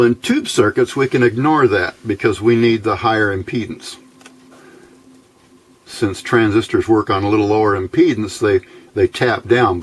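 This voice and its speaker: A man's voice: fairly low pitch, breathy edge. A man giving an informal hands-on technical explanation as an electronics hobbyist, in a plainspoken, folksy, methodical way.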